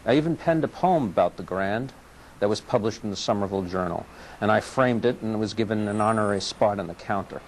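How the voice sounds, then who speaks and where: Wry man reading an essay, lower voice. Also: a little fast, a little hiss or hum, rough recording. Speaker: local poet. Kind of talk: reading a personal essay aloud. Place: recorded on location for public access TV.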